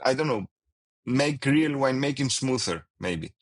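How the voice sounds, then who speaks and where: A man with a Greek accent, a winemaker guest speaking non-native English, pausing often with fillers and restarts. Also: medium pitch, lively intonation. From Greece. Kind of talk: casual interview.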